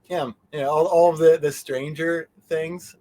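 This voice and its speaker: A man's voice: a little high, steady tone, a little slow, dark tone. A man as an interview guest in casual fan chat, joking and cutting into his own rambling sentences. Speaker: interview guest, convention organizer.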